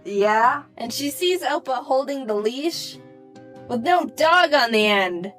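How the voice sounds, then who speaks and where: Young woman, higher-pitched voice, scripted cartoon storytelling with wry, casual delivery, music underneath. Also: takes it slowly. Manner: animated, wry comedic asides, casual slang. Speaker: animated storyteller, narrator.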